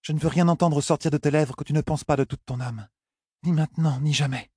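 An earnest young noblewoman in love, played by a male narrator, speaking high and husky in a storybook reading. She is soft and coy, yet bold, and the sound is close and dry. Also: steady tone, brisk, no room sound. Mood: teasing, firm.

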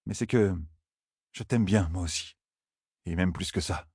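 A man voicing a shy, awkward young shepherd in an audiobook, speaking quietly and hesitantly in fumbling phrases. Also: low-pitched, unhurried, breathy edge, no echo, clean studio sound, full character voice. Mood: flustered, hesitant. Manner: hesitant, fumbling, quiet, breaking off mid-sentence.

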